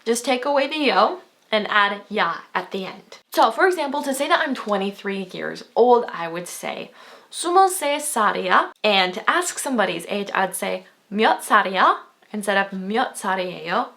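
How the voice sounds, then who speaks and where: A woman's voice: medium pitch, expressive, fast, vocal fry, sharp tone. A woman, giving a chatty, upbeat video language lesson and laughing often. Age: 20s. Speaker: language teacher.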